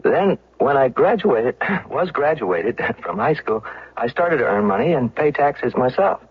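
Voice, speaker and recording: A man with an American accent tells his story in a folksy radio spot. His voice is very raspy and medium in pitch, on a dull old broadcast. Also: very animated, a little slow. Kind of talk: folksy first-person radio advertisement monologue.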